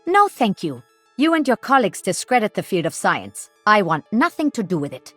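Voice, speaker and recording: A woman's voice: mid-range voice, sing-song, normal speed, a bit bright, dry recording. A woman playing a principled, kindly battlefield medic in a video game. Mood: firm. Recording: music behind the voice.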